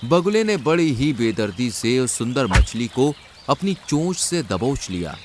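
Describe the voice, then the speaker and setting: A male narrator tells a scripted children's story with animated, swinging intonation. His voice is medium in pitch, with faint noise behind it. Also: a little slow.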